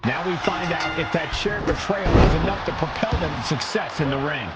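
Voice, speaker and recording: A polished male wrestling announcer from a video game, played by an actor, with a slightly high voice. He delivers play-by-play in neat, story-like phrases over very loud music. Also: a little fast, natural voice. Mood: composed. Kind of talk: sports commentary.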